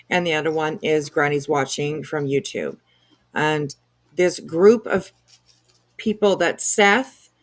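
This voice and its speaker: A woman co-hosting a casual commentary livestream, explaining things in a halting, rambling way. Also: sits low. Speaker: livestream co-host.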